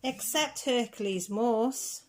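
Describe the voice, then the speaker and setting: A woman reading a children's rhyming picture book aloud with measured, deliberate phrasing. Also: a little high, a little hiss or hum. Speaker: reader of children's picture books. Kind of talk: storybook read-aloud.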